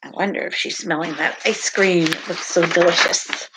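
A middle-aged woman teacher reading a picture book aloud to children, with deliberate sing-song emphasis on repeated words. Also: on the low side, fairly even intonation, fairly bright tone, breathy edge, poor audio. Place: home kitchen, indoors.

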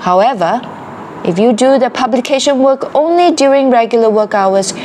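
A woman reading a ministry passage aloud in a slow, earnest, deliberate way. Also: on the high side, expressive, a little mellow, a little hiss or hum. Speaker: reader of a ministry excerpt.

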